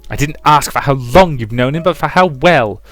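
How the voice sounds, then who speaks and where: A man's voice: mid-range voice, expressive, moderate pace, fairly bright tone, voice pushed up, lightly acted. A man voicing a domineering, suspicious mother who presses her child insistently, in a visual novel. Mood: probing, pressing. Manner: insistent, probing, emphatic. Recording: music behind the voice.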